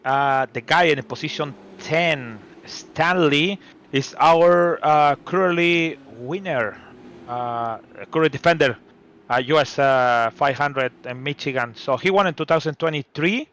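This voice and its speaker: A man's voice: on the high side, unhurried, fairly bright tone. A man giving color commentary on a sim racing broadcast, hesitant and full of fillers as he searches for names and words.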